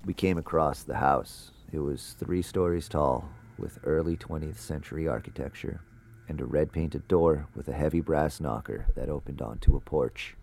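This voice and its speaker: A man's voice: rumbling low, moderate pace, vocal fry, darkish tone, full character voice. An actor playing a ghost hunter who narrates his investigation in a scripted first-person audio drama. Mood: measured, observant.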